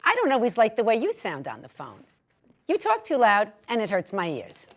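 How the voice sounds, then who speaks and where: A woman's voice: on the high side, wildly swooping pitch, normal speed, voice pushed up. A woman playing a brash, indignant middle-aged mother in an emphatic stage monologue. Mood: indignant complaining.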